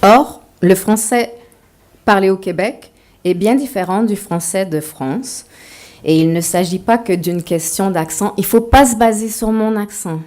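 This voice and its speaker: A woman's voice: medium pitch, a little slow, darkish tone. A woman author giving a talk to an audience, mixing a prepared lecture with playful, self-mocking asides.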